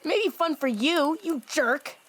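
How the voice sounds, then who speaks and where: A woman voicing a cheeky, excitable young fox demon boy with a childlike voice in an anime-style audio drama. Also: slow pace. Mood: indignant scolding. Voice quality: childlike, squeaky.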